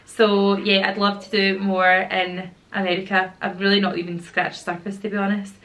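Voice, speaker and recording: A woman's voice: mid-range voice, level tone, moderate pace, a bit bright. A woman in her thirties with a Glaswegian Scottish accent, chatting casually to camera at home, rambling and slangy, with a slightly sore throat. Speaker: YouTuber and mum. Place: at home with children nearby.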